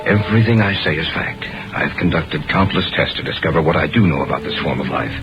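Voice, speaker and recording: An actor playing a troubled, earnest research biologist with a weighty, emphatic delivery in a 1950s radio drama. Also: male voice, a bass voice, animated, brisk, music in the background. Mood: insistent, grave. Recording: muffled, noisy old radio recording.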